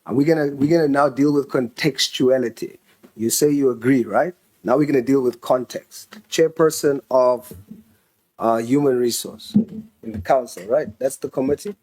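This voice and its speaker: A man's voice: mid-range voice, normal speed, darkish tone. Insistent man grilling witnesses. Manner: pressing, insistent. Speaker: member of parliament questioning officials. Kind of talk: committee questioning.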